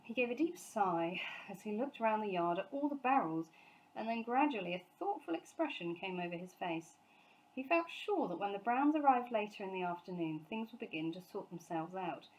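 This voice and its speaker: A woman's voice: mid-range voice, animated, normal speed, poor audio. A woman reading a children's storybook aloud as scripted narration. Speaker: storybook reader, teacher.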